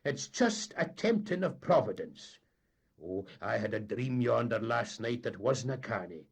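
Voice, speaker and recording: A man's voice: medium pitch, expressive, unhurried, vocal fry, slightly rough, pitched above the performer's own voice, heavily acted. A man voicing a nervous, wheedling Scottish deckhand with a Scottish accent. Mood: coaxing. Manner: coaxing, persuasive. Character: wheedling anxious Scottish boat mate.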